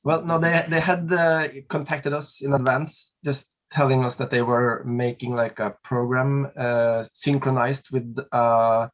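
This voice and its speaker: A man's voice: medium pitch, level tone, a little slow, hardly any pauses, dull audio. A man, answering interview questions over a video call, hesitant with many fillers and small laughs. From Norway. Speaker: singer and documentary subject. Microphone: laptop webcam mic on a video call.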